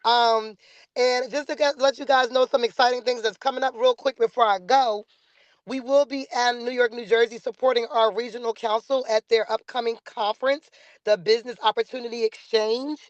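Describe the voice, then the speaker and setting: A woman's voice: a little high, fairly even intonation, normal speed, no room sound. A woman hosting a business webinar over a video call, enthusiastic and chatty, encouraging the audience and using many "um"s. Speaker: webinar host, organization director. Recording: dull, lo-fi video call audio.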